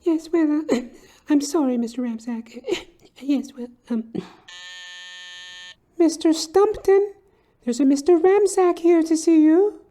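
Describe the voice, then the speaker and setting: A woman's voice: high voice, takes it slowly, pauses often, dark tone. A woman playing a meek, flustered secretary with a hesitant, polite manner in a detective dramedy audio play. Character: meek, flustered female secretary, apologetic and dutiful.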